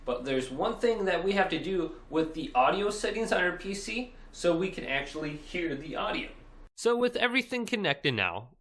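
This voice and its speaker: Man, higher-pitched voice, very lively intonation, upbeat tutorial host, casual step-by-step delivery. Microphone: Blue Yeti desktop microphone.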